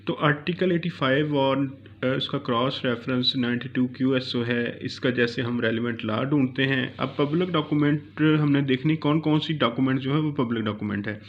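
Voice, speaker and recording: A man's voice: medium pitch, normal speed. A man with a Pakistani accent teaching law in an informal lecture, explaining step by step and switching between two languages. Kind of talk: informal Urdu-English legal lecture. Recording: dull, lo-fi recording.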